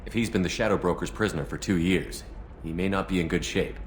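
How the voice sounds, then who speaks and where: Actor as game hero, man, deep voice, calm loyal commander, direct, measured delivery. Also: slight background noise, close to an everyday voice. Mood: supportive. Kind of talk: video game drama acting.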